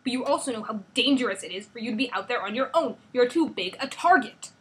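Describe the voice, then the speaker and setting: A woman's voice: on the high side, expressive, fast, a bit bright. A woman reading as a stern male team leader.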